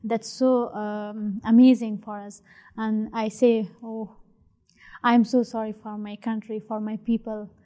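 A young woman from Afghanistan with an Afghan accent, speaking halting, hesitant English full of fillers as an interviewee. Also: fairly high pitch, level tone, dark tone. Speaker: interviewee, refugee.

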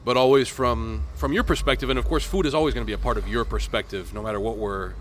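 A man from Miami co-hosting a casual podcast, thoughtful and measured, asking leading questions. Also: medium pitch, slight background noise. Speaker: podcast co-host.

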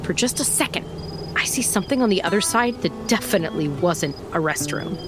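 A woman's voice: on the low side, lively intonation, brisk, over music, natural voice. An actress playing a wry, tough female private eye who narrates casually in a mystery audio drama. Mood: wry narration.

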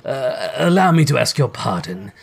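A man's voice: medium pitch, very animated, moderate pace, creaky, husky, exaggerated voice. A man voicing a reformed old miser in a storybook, gleeful, kindly, effusive and courteous. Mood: earnest, warm. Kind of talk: dramatized storybook reading.

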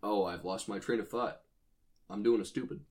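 Podcast co-host, male, low register, talks through ideas in an explanatory, informal way. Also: normal speed, a little mellow. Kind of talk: casual chat, speculative brainstorming about creatures.

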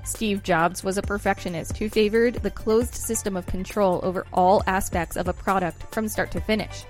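A woman's voice: mid-range voice, steady tone, moderate pace, a little mellow. Woman co-host reading over music. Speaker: podcast co-host. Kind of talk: reading aloud with casual commentary.

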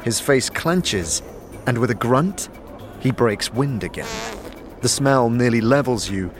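Male storyteller for fantasy audio drama. Medium-pitched voice, scripted descriptive narration, clear music bed underneath. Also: lively intonation, a little slow.

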